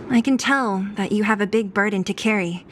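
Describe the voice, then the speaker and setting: A woman's voice: mid-range voice, measured pace, background noise, close to an everyday voice. A woman playing a brash, tough young underworld woman in a game cutscene, blunt and sarcastic. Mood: teasing, blunt. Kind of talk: dramatic game cutscene voice acting.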